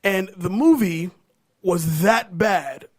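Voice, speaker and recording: A man with a high-pitched voice hosts a casual podcast. He talks in loose, animated banter and swears freely. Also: slow pace. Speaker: podcast host. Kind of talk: casual movie podcast chat.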